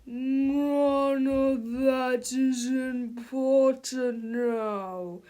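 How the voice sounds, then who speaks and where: A woman's voice: high-pitched, fairly even intonation, warm tone, low-quality recording. Giant robot-clad male bad guy, female reader: menacing, bossy, growly, heavily stretched-out delivery for a children's storybook. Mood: commanding. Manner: slow, drawn-out, growling, domineering.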